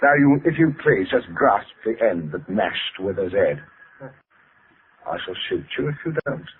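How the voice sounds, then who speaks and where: An actor in an old radio drama playing a cold, controlling father who threatens in a formal, measured way. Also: fairly low pitch, very animated. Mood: commanding. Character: cold, controlling father calmly blackmailing at gunpoint. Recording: noisy, tinny old radio recording.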